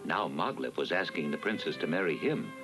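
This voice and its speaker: A man narrating a children's fairy tale in a dramatic, measured storytelling style. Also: a bass voice, lively intonation, music in the background, low-quality recording.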